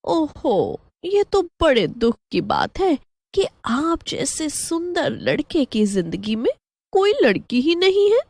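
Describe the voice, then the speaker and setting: A woman's voice: high-pitched, very animated, takes it slowly, a little mellow, no echo, pitched above the performer's own voice, heavily acted. A woman voicing a young flower-seller girl who coaxes sweetly and playfully in a horror story. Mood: playful sympathy.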